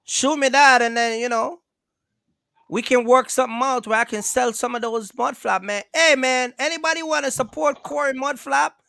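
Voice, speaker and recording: A man's voice: extremely high pitch, normal speed, creaky, sharp tone, no room sound, clean studio sound. A man hosting a casual live stream, joking and teasing his guests, often talking over them. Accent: Jamaican-influenced. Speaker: host.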